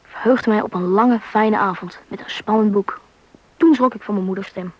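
A woman's voice: mid-range voice, normal speed, highs cut off, lightly acted. A woman playing a curious, anxious schoolboy who narrates and acts in a Dutch radio play. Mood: narrating, wondering.

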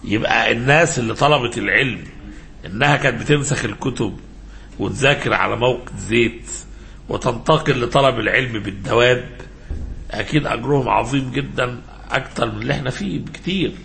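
Egyptian male religious lecturer, mid-pitched gravelly voice, halting question-led teaching, faint background noise. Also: sharp tone. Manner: halting, didactic, prompts listeners with questions. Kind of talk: informal religious lecture mixing classical and colloquial speech.

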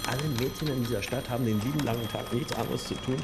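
An actor playing a sardonic, wisecracking police detective in a naturalistic German radio play. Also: male voice, mid-range voice, fairly even intonation, quick pace, very noisy, close to an everyday voice. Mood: mocking. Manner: teasing, sardonic. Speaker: actor in a radio crime drama.

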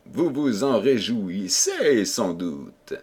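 A man's voice: fairly low pitch, sing-song, extremely slow, a little mellow, slightly rough, full character voice. Man acting a sly, insinuating storybook courtier. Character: sly, malicious, smooth-talking male courtier, feigning nonchalance.

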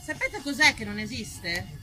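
Lively woman telling a stage story. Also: on the high side, a little slow, loud music behind the voice. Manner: lively, ironic, conversational with the audience. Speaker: actress and author performing a monologue. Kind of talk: theatrical storytelling monologue.

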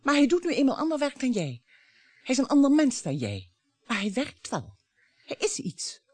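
A middle-aged mother in a radio drama, long-suffering yet defiant. Her fairly high voice swings expressively and sounds close and dry. She speaks pointedly, as if challenging her husband. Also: wildly swooping pitch, moderate pace, darkish tone, dry recording, exaggerated voice. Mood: defensive. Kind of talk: Dutch radio play acting.

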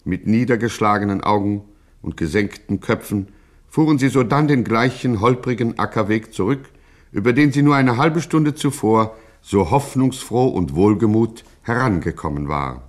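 A man's voice: fairly low pitch, expressive, normal speed, natural voice. A man narrating a gangster satire as a mock-solemn storyteller in scripted radio style.

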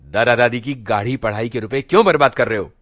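A man's voice: medium pitch, brisk, a bit bright, dry recording, natural voice. A man voicing a stern, self-important teenage elder brother in a storybook reading, preaching and scolding with rhetorical questions. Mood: angry, scolding.

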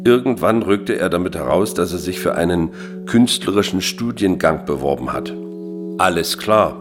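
An actor playing a gruff, embittered, greying ex-army father in a radio drama, sarcastic and grumbling. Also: low voice, lively intonation, normal speed, over music. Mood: formal, resigned. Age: middle-aged. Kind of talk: German radio drama acting.

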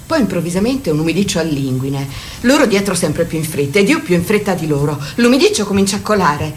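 A woman's voice: medium pitch, slight background noise, lightly acted. An actress playing an indignant woman who recounts injustices and memories in a radio theatre piece. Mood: tense recounting. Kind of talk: theatrical radio drama storytelling.

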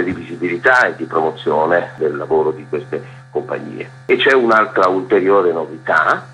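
A man with a husky, rough-edged voice, explaining things as a festival director interviewed on the radio. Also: sits low, fairly bright tone. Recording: dull lo-fi radio sound, music underneath. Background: music.

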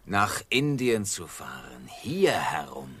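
An actor playing a fed-up, grumbling sailor with a rough voice in a satirical radio play. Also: male voice, sits low, big pitch swings, slow pace, fairly bright tone, exaggerated voice. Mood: glum.

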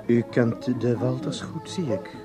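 An actor playing an urbane, probing academic in a radio play, polite and wry, at a noisy party. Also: male voice, medium pitch, measured pace, lightly acted. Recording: loud party crowd and music.

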